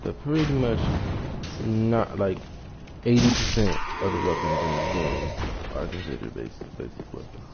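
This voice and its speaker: A man with a raspy, hoarse voice casually commenting on his own game stream, rambling and trailing off quietly at the ends of thoughts. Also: sits low, moderate pace. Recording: lo-fi stream audio with loud noise.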